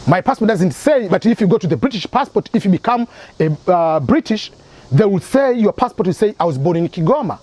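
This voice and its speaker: A man's voice: high voice, very animated, fairly quick, a little mellow, no room sound. A man from Tanzania, hosting an advice channel and explaining his points through repeated examples. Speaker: immigration advice channel host.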